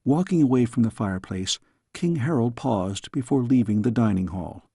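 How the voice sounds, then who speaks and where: A man narrating a scripted story in a measured, expressive way, with a gravelly, husky voice. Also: mid-range voice, no echo, studio quality. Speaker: audiobook narrator.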